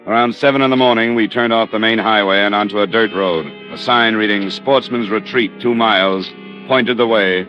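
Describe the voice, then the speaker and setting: An actor playing a dry, persistent insurance investigator in an American hard-boiled radio drama, terse and matter-of-fact. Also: male voice, lowish voice, steady tone, moderate pace, soft background music, natural voice. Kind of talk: 1950s hard-boiled radio detective acting. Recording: dull old radio recording.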